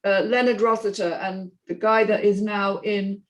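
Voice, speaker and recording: A woman's voice: mid-range voice, fairly even intonation, normal speed. A woman moderating a casual feedback discussion, speaking informally and calling on others.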